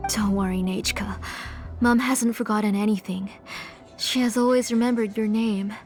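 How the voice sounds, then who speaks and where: A woman's voice: medium pitch, steady tone, moderate pace, close to an everyday voice. A woman playing a grieving, obsessed mother in a dramatic video game scene, speaking in a solemn, confessional manner. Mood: resolute sorrow. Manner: solemn, confessional, trailing into hushed breaths. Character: grieving, obsessed mother, soft-spoken and resolute. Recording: game music behind voice.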